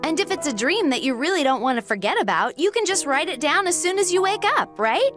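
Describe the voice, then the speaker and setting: A woman's voice: high voice, sing-song, brisk, music in the background. A woman playing a confident, teasing, no-nonsense young woman in a video game, frank and casual in manner. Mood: frank advice. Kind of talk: animated video game voice acting.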